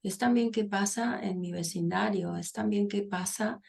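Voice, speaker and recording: A middle-aged woman from Argentina, a psychologist and author, speaking thoughtfully in an interview with frequent pauses and restarts. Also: mid-range voice, gravelly, darkish tone. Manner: thoughtful, pausing with many fillers and restarts. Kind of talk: conversational interview.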